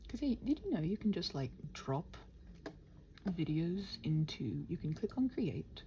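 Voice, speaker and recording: Scattered, muttering woman with a lively, creaky voice. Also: lowish voice, measured pace, slight background noise. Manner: scattered, muttering to herself while working; playful, chatty, talks to her computer. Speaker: ASMR audio creator rambling to listeners. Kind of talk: casual unscripted body-doubling ramble.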